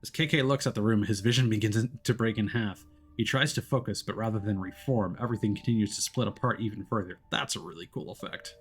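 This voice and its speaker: A man's voice: fairly low pitch, quick pace. A man streaming a game, switching between reading narration aloud and casual commentary.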